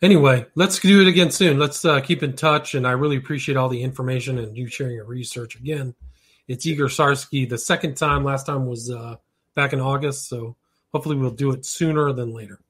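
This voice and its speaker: A man hosting an interview, tired and relaxed in a casual conversational way. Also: mid-range voice, brisk, breathy edge. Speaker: podcast host.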